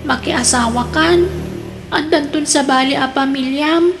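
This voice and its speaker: A woman's voice: high-pitched, slight background noise. A woman with a Filipino accent playing an earnest young daughter pleading for her family in an Ilocano radio drama.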